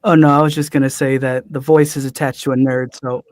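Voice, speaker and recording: Soft-spoken male voice actor. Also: medium pitch, little pitch movement, brisk, no echo, clean studio sound.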